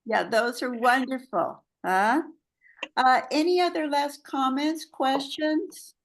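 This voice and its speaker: A woman's voice: sits high, slow pace, a little hiss or hum. A woman English coach hosting an online webinar, encouraging participants with praise over a video call.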